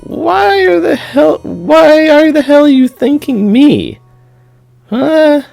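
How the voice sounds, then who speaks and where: A man's voice: extremely high pitch, slow, vocal fry, darkish tone, faint music behind, pitched above the performer's own voice, exaggerated voice. A man voicing a brash, irritable teenage girl in a visual novel read-aloud. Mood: angry.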